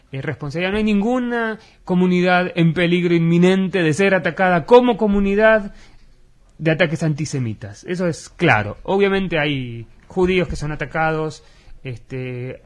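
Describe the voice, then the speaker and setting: A man with an Argentine Spanish accent, an educator answering in a radio interview, earnest and measured with frequent fillers. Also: fairly high pitch.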